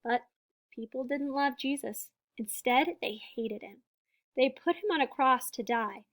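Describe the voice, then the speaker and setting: High-voiced woman reading to children. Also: fairly bright tone. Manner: deliberate, emphatic phrasing with explanatory asides to young listeners. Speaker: storybook reader and teacher. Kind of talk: children's picture book read-aloud.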